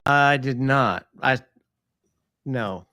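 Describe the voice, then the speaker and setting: A man from East Texas with a Southern accent, chatting casually as a guest in a folksy, halting way. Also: mid-range voice, pushed and bright, no room sound, studio quality. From East Texas, born in Tennessee. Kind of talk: casual chat.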